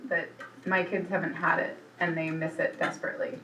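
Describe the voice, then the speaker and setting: A woman parent at a school meeting, speaking candidly and thinking out loud. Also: low-pitched, level tone, a little fast. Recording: echoey room, distant, noticeable background hum.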